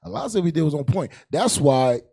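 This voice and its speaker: A man with a fairly high voice and heavy creak, speaking with an American accent. He preaches and comments in a colloquial way, hammering points by repeating them. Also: lively intonation, quick pace, mellow. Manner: emphatic, repetitive, colloquial. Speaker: panel host, religious teacher. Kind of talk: impassioned preaching and panel commentary. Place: indoor curtained studio set, panel table. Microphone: handheld microphone.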